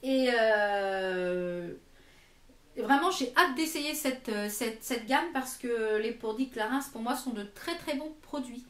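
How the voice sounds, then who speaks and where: A woman in her late thirties giving a casual product review in a chatty, hesitant manner, pausing to read labels aloud. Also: on the high side, expressive. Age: late 30s. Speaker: beauty vlogger presenting a product box. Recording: echoey room.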